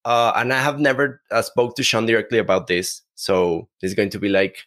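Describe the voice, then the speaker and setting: A man from Mexico with a Mexican accent, a podcast guest who talks haltingly with many restarts. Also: on the low side, a bit bright. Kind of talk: conversational interview.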